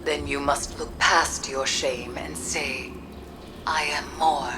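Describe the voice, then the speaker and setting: An actress playing a grave, wise witch who speaks in a solemn, cryptic, measured manner in a video game. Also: sits low, steady tone, noisy background. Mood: solemn, challenging. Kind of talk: dramatic video game acting. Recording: dramatic music behind the voice.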